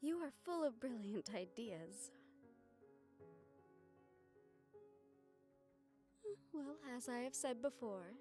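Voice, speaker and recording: A woman playing an affectionate, scheming young noblewoman in an intimate audio roleplay, speaking formally and measuredly with thoughtful pauses. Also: a little high, a little mellow. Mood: fond, playful. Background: background music.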